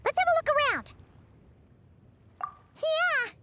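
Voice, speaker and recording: A woman voicing a small, excitable, chatty fairy companion in a video game, with a squeaky childlike voice and an exclamatory manner. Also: slow pace, slight background noise, full character voice. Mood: nostalgic, teasing. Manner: exclamatory, speaks of herself in third person. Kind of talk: animated video game voice acting.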